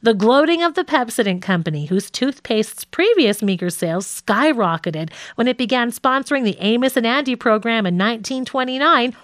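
A woman narrates a nonfiction audiobook in a fairly high voice with very animated intonation. Her reading is measured and articulate, and the sound is dry and close, with little room echo.

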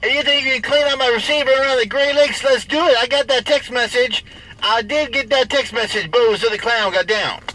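A man's voice: very high voice, brisk. A man talking in CB radio chatter, making showy, repetitive call-outs full of catchphrases and call signs. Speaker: CB radio operator and host. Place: inside a vehicle. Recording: very noisy CB radio recorded in vehicle. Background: loud radio and vehicle noise.

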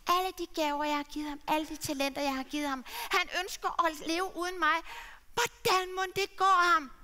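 A woman's voice: very high-pitched, steady tone, big-room sound, voice pushed up. A woman voicing a loving father who longs for his lost son. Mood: longing. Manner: tender, calling out warmly.

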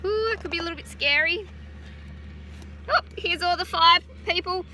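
An Australian woman in her thirties, a teacher gently reading a picture book aloud to children outdoors on a farm, adding chatty asides. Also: very high voice, normal speed, a little hiss or hum, low-quality recording. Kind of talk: children's story time read-aloud. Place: outdoors on a farm beside a utility vehicle. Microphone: phone camera held close.

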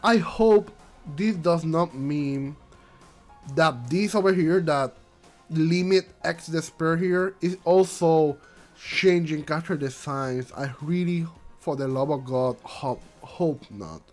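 Male vlog host, congested and stuffy, high lively voice, faint music under him, rambling non-native English. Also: slow. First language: not English. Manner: rambling, repeats himself, frequent restarts. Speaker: channel host. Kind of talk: casual commentary vlog.

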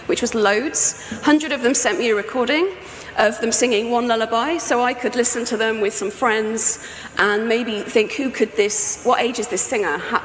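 Middle-aged woman, higher-pitched voice, conversational conference presenter, reverberant hall. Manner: conversational, explanatory. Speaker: sound artist presenting a project. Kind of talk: conference talk, informal presentation. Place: indoor talk venue with projection screen, on stage. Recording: echoey hall, faint background noise.

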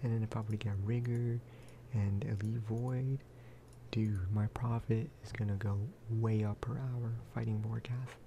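Soft-spoken man with a flat, lowish voice. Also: normal speed, gravelly, a little hiss or hum. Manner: casual, rambling. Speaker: gaming video creator. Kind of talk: soft-spoken ASMR game commentary.

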